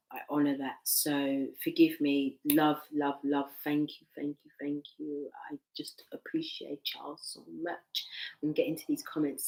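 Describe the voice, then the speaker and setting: A woman giving a live tarot reading from home on a laptop mic, veering from excited outbursts to soft murmuring. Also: deep voice. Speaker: tarot reader. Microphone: laptop microphone. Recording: echoey room, laptop mic.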